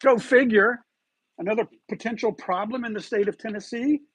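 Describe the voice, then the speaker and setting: A man's voice: high-pitched, normal speed, husky, no room sound. A man hosting his own online commentary show, talking emphatically and often asking his viewers whether they follow him. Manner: emphatic, rhetorical, keeps asking "you feel me there?". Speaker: YouTube channel host. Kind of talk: scripted commentary monologue.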